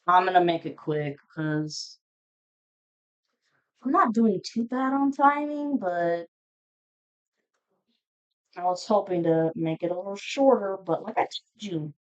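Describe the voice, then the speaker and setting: A woman's voice: on the low side, lively intonation, takes it slowly, pauses often, clear, resonant tone, muffled recording. A woman giving a casual, unscripted tarot reading to viewers, speaking in a blunt, informal, foul-mouthed manner. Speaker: tarot reader hosting a zodiac reading.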